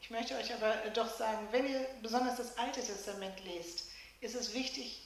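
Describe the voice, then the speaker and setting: A woman's voice: fairly high pitch, normal speed, a little hiss or hum. A woman interpreting a sermon into German phrase by phrase, sometimes explaining the literal wording. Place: church. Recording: very echoey church hall.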